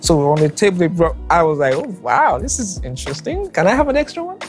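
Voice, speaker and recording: A man's voice: a little high, big pitch swings, fast, soft background music. A man with a Nigerian accent, a podcast guest, telling a story in a jokey way and often repeating his words. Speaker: guest, husband. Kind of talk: casual podcast chat.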